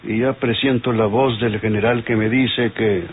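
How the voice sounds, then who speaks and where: A man's voice: medium pitch, steady tone, normal speed, natural voice. An actor playing a weary, stern, sardonic middle-aged father and lawman in an old radio soap. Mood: weary, bitter. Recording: tinny old radio recording, faint noise.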